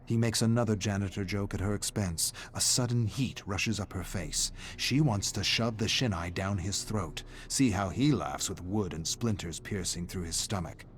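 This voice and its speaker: A man reading dramatic scripted narration of a dark story in a measured, suspenseful way. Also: fairly low pitch, a little hiss or hum.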